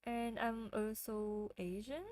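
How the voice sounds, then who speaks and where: A woman's voice: a little high, fairly even intonation, takes it slowly, a little mellow, dry recording. A woman from France with a French accent, hosting a casual livestream and chatting with viewers, often giggling. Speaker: livestream host.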